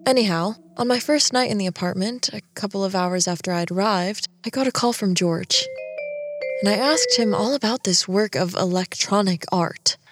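Woman acting quiet geeky designer lady in an audio drama, kinda wry and chatty, bit of music behind. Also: mid-range voice, normal speed, close to an everyday voice. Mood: reflective. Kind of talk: first-person audio drama narration and dialogue. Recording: music under the voice.

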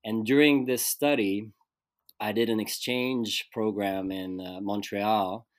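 A man's voice: lowish voice, steady tone, slow, no room sound. A man from Switzerland, a guest actor talking through his life in a thoughtful, searching way. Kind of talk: casual conversational interview.

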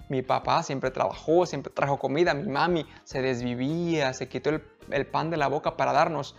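Woman preacher with a Mexican accent, deep voice, earnest and emphatic, on a dull lo-fi stream with faint music. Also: creaky, darkish tone. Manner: earnest, emphatic preaching with direct questions to listeners. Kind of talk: live-streamed sermon.

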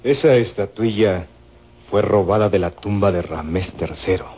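An actor playing a wary, grave hired thug in a radio serial. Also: male voice, deep voice, moderate pace, breathy edge. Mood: suspicious. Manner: grave, superstitious warnings. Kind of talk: Spanish-language radio serial acting. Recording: dull old radio recording.

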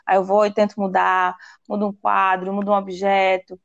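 A woman co-hosts a podcast in a mid-pitched voice, with a dry, somewhat muffled lo-fi sound. She speaks thoughtfully and often pauses to restart her sentences. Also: monotone, gravelly, no room sound. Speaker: podcast co-host. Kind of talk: casual podcast conversation.

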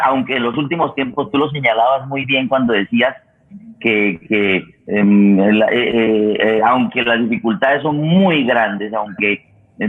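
Husky Colombian man on a phone-like line. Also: medium pitch. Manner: halting, deliberate, searching for words. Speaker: radio forum host. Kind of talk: reflective, explanatory discussion. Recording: thin, phone-like remote line.

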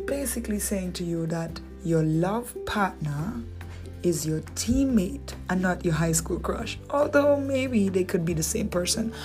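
A woman with a Dominican accent teaching from the Bible in a relaxed, conversational way, with rhetorical questions and playful asides. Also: mid-range voice.

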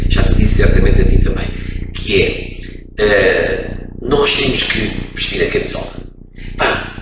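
A middle-aged man from France who speaks with a European Portuguese accent. He is a radio broadcaster telling stories as an interview guest, with frequent hesitations. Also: mid-range voice. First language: French. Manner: expansive storyteller, frequent hesitations. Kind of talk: casual interview, reminiscing. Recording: echoey room, distant mic, background noise.

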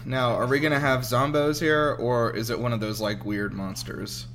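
A man giving casual, excitable, sweary commentary as host of a film reaction show. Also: mid-range voice, normal speed, a little hiss or hum. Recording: music playing behind. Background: film soundtrack music.

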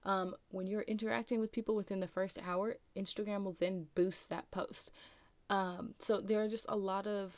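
Chatty American woman, raspy morning voice. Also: medium pitch, fairly even intonation, normal speed, no echo. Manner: rambling, chatty, frequent ums and likes. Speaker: podcast host. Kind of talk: casual solo podcast chat.

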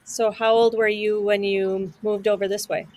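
A woman's voice: medium pitch, level tone, normal speed, darkish tone. A woman hosting a casual live-stream talk show, chatty and friendly as she introduces and questions her guest. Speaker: show host.

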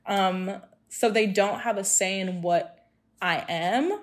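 A young woman from Hong Kong chats with friends, speaking her mind in an animated, expressive way. She laughs easily and talks with conviction. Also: mid-range voice, takes it slowly. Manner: animated, outspoken, laughs often. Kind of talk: casual group chat.

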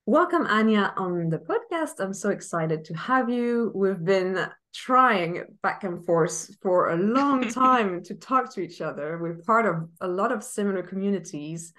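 A woman's voice: mid-range voice, animated, a bit bright. Laughing woman hosting a chat. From France. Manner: bubbly, laughs often, quick restarts. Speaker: podcast host. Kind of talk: casual interview chat.

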